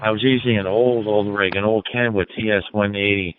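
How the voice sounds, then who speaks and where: A man reminiscing casually and haltingly as an amateur radio operator over a radio link. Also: fairly low pitch, fairly even intonation, crowd noise. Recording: tinny narrowband radio transmission.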